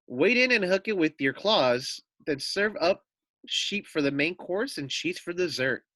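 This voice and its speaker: A man's voice: high-pitched, animated, unhurried, pushed and bright, no room sound, pitched above the performer's own voice, exaggerated voice. A man voicing a clever, sly female sheep in a children's storybook. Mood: coaxing.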